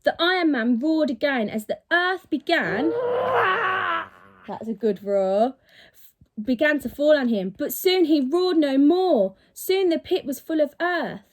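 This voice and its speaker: A woman's voice: high voice, expressive, unhurried. A woman reading a children's story expressively, with dramatic, emphatic delivery, like a teacher reading to pupils.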